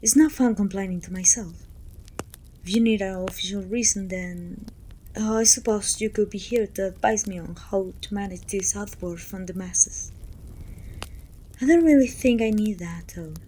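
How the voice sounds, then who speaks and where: A woman playing a pompous, self-pitying evil queen who talks down to her servant in an intimate, breathy ASMR roleplay, often dropping toward a whisper. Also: mid-range voice, moderate pace, mellow, a little hiss or hum, close to an everyday voice, rough recording. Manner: pompous, petulant, theatrical sighing complaints.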